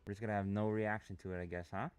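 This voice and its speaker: A man's voice: fairly low pitch, flat delivery, racing, clear, resonant tone, soft background music. A man streaming live trades, talking casually, restless and impatient, swearing freely as he talks. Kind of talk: casual live trading commentary.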